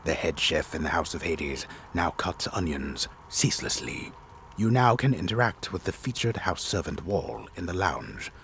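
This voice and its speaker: An actor playing a disembodied storyteller, a man reading game narration in a solemn, deliberate, theatrical way. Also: low voice, very animated, creaky, fairly bright tone, slight background noise, exaggerated voice. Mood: solemn, dramatic.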